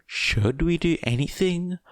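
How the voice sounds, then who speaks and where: A man voicing a blunt, deadpan, cautious teenage girl in a story reading. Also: on the high side, measured pace, no room sound, pitched above the performer's own voice, natural voice. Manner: blunt, flat.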